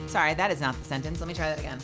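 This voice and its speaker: A woman streamer with a scratchy voice making quick casual asides between lines. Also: low-pitched. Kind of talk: casual aside during a live reading. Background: background music.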